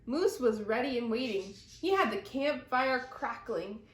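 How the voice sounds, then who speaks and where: A high-pitched woman narrates a children's story. She takes it slowly, with deliberate dramatic phrasing, in a room with noticeable echo. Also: animated. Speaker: storyteller reading a picture book aloud. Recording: roomy echo.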